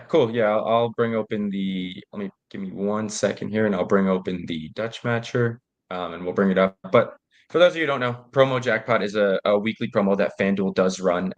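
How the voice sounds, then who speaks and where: Low-voiced man, nasal from a cold. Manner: relaxed, explanatory, with repeated restarts. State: nasal from a cold, slight cough. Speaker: co-host. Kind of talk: casual sports betting chat.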